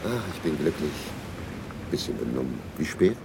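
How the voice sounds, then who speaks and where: An actor playing a middle-aged East Berlin husband and war veteran in a grotesque radio play, boastful and sentimental, given to drawn-out exclamations. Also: male voice, extremely low pitch, sing-song, gravelly, heavy background noise, heavily acted. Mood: subdued, sentimental.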